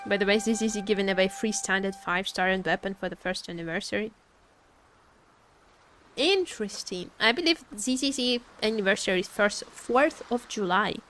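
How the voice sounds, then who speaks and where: A woman's voice: medium pitch, normal speed, a bit bright, soft background music, low-quality recording. A woman live-streaming a game, chatting casually and expressively, often repeating her phrases. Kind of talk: casual livestream chat while gaming.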